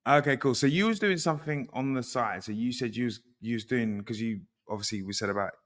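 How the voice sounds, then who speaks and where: A man with a British accent hosts a casual interview. His voice is average in pitch, and he asks in a chatty way, often repeating and rewording what he wants to know. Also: brisk, in a large hall. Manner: repeats and rephrases questions.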